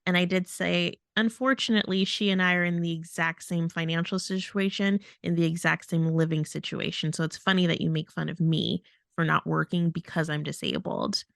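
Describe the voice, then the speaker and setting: A woman's voice: lowish voice, steady tone, a little fast, vocal fry, hardly any pauses, a bit bright, dry recording, clean studio sound. A woman co-hosting a podcast in casual chat, speaking in an emphatic, plainspoken way. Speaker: podcast co-host.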